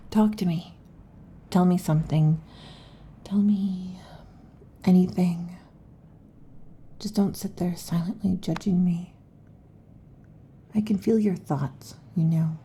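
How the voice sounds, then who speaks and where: A woman playing a restless, possessive lamia girlfriend in an intimate ASMR roleplay, with a breathy, husky half-whisper and halting, teasing delivery. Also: fairly low pitch, warm tone, slight background noise. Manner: halting, teasing, predatory intensity. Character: restless, possessive female lamia monster girlfriend next door.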